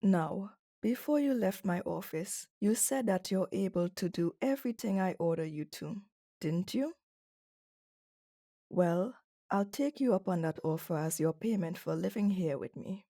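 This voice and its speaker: A woman acts out a commanding female chief executive for an ASMR roleplay. She speaks slowly and deliberately in a soft, mellow tone. Her manner is cold and condescending, with a dominant, flirtatious edge. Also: mid-range voice, lively intonation.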